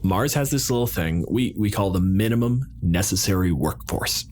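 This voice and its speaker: Guy doing a cool official president type, slow pointed pauses, lively pitch movement, roleplay game. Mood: firm, unyielding. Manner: deliberate, formal, halting with pointed pauses. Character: measured, bureaucratic male colonial president, coolly authoritative. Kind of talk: improvised roleplay dialogue.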